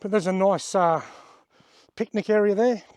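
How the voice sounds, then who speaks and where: An Australian man with a slightly hoarse voice, chatting plainly as he gives a casual walking tour outdoors at a wetland and points things out along the way. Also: high-pitched, moderate pace, darkish tone, no room sound, clean studio sound. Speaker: outdoor vlogger giving a tour. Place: outdoors at wetlands by a creek and car park.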